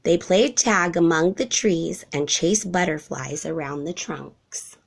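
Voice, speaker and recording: A woman reading a children's storybook aloud in an expressive narrating style. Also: low-pitched, slow, vocal fry. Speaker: storybook reader.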